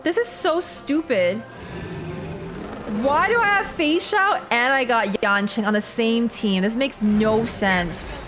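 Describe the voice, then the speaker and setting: A woman's voice: a little high, slow. A woman streams a game in a casual, rambling voice. She thinks aloud in slangy asides as she talks to her chat. Faint music plays behind her. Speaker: streamer playing and reacting to a game.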